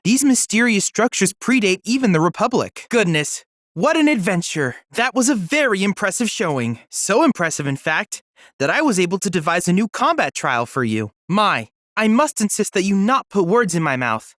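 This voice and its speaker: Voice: mid-range voice, sing-song, normal speed, fairly bright tone, no echo, clean studio sound. An actor playing a polite, scholarly teenage boy android research assistant in a video game, speaking in a formal, precise, courteous way. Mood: informative, precise, then pleased, courteous. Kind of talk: scripted video game character dialogue.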